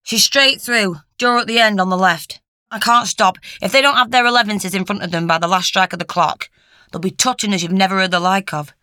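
A woman voicing a cheeky, brisk young female care worker in an audiobook, chatty and colloquial, often exasperated. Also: mid-range voice, fairly even intonation, bright, forceful tone, clean tone, no echo, studio quality, close to an everyday voice.

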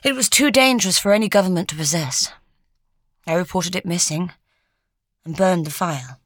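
A woman voicing a gentle, grave old woman who was once a secret agent, speaking in a measured way. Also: lowish voice, dry recording, clean studio sound.

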